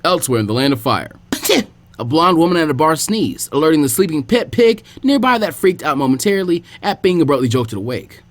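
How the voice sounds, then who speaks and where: A man reading a fan story aloud as a storyteller. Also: a little high. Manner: fast, lively storytelling. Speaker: fanfiction reader.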